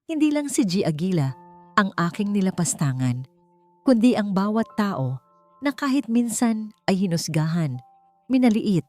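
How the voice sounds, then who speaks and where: A woman's voice: mid-range voice, a little mellow, lightly acted. Audiobook figure: proud young female executive, earnest and formal, read by a woman. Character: proud young woman CEO admitting fault.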